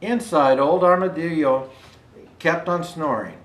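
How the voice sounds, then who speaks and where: An older man reading a children's picture book aloud as a storyteller, in an unhurried, expressive way. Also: on the high side. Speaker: storyteller dressed as Santa Claus. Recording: roomy indoor echo.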